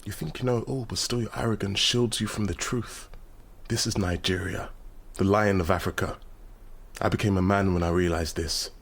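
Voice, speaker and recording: A defiant, low-voiced young militant son. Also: male voice, fairly even intonation, moderate pace, creaky, slightly rough, full character voice. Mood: proud, insistent. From London, England. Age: young adult. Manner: proud, accusatory, declamatory. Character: defiant, bitter grown son turned Nigerian oil-rights militant. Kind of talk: radio drama acting.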